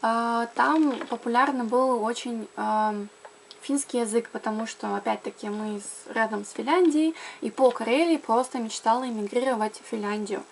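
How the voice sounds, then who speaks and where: A woman's voice: on the high side, moderate pace, vocal fry, slight background noise. A young woman from Karelia chatting casually to camera about learning languages, with frequent fillers and self-corrections. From Karelia, Russia. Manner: chatty, lots of fillers and restarts. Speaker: language blogger.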